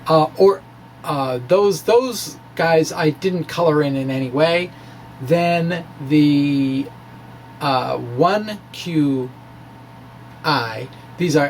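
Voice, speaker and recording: A man giving an informal, self-deprecating technical ramble in a hesitant way, with animated asides. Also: fairly high pitch, slight background noise. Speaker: physics blogger presenting his own work. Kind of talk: informal technical ramble, reading of own blog.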